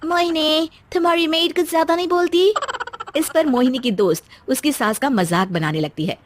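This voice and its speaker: A woman with a high voice narrates a scripted story. Her delivery is very lively in pitch, and the recording is close and dry. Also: dry recording.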